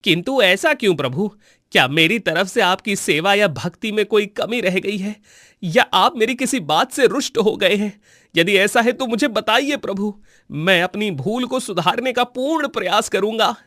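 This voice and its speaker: A high-pitched, devout priest. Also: male voice, expressive, moderate pace, a bit bright, no echo, studio quality. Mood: anxious, pleading. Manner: pleading, reverent, formal. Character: devout, humble Brahmin priest, anxious to please.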